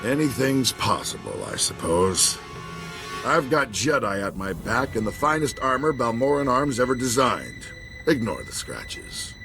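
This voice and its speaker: An actor playing a weary, honorable older military commander in a video game, gruff and plain-spoken. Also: male voice, mid-range voice, big pitch swings, unhurried, over music. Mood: gruff, resolute. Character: weary, gruff, honorable old army marshal. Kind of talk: dramatic video game voice acting.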